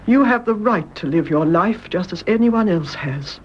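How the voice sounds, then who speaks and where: A British actress playing a kindly, dignified older mother-in-law in a radio drama, measured and genteel. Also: lowish voice, lively intonation, highs cut off, a little hiss or hum, lightly acted. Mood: grave, sympathetic. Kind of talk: BBC radio drama acting.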